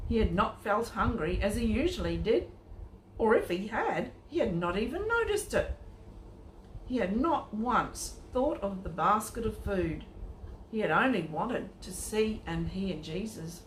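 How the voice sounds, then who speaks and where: A woman reading a children's Bible story aloud as a storyteller, with an expressive delivery aimed at young listeners. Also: medium pitch. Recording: echoey room with faint noise.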